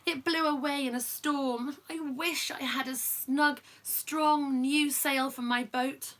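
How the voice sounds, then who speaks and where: A woman's voice: high voice, steady tone, takes it slowly, a bit bright, voice pushed up. A woman voicing a forlorn goat sailor in a picture book, plaintive and bleating.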